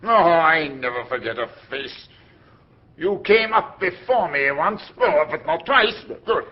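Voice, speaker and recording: A pompous old magistrate. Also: male voice, a little high, lively intonation, a little slow. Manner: pompous, blustering, self-satisfied. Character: pompous elderly male magistrate, blustering. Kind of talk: radio drama acting. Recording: dull lo-fi audio.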